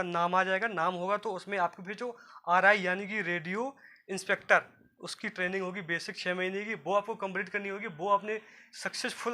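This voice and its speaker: A man's voice: high-pitched, pushed and bright, a little hiss or hum. A man giving an informal explainer to viewers, going step by step, repeating himself and addressing listeners as friends. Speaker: presenter of an exam and career guidance video.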